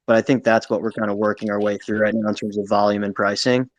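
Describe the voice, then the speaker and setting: A man's voice: on the low side, level tone, fast talker, no room sound. A man giving his opinion informally and reflectively in a casual live audio chat. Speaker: panel participant.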